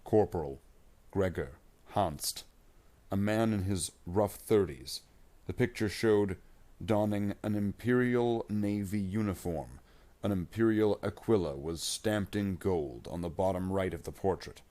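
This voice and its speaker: A man's voice: sits low, a little slow, warm tone. A man reading scripted science fiction in an audiobook style, narrating plainly without putting on character voices.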